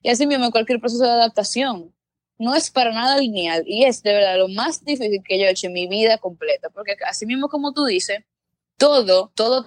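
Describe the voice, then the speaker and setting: A woman's voice: medium pitch, no room sound. A young woman from the Dominican Republic with a Dominican Spanish accent, speaking as a guest in casual conversation. She talks earnestly and reflectively, and often repeats words while she searches for how to put things. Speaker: guest, exchange student abroad.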